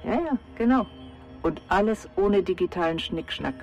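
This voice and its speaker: An actress playing a secretive, bossy but kindly salon woman in a children's radio play, giving brisk, conspiratorial instructions. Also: medium pitch, lively intonation, music in the background, natural voice. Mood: confiding.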